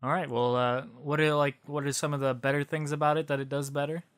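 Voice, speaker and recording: A man's voice: on the high side, flat delivery, brisk, fairly bright tone, clean tone. A man co-hosting a podcast in casual, conversational chat. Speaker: podcast co-host.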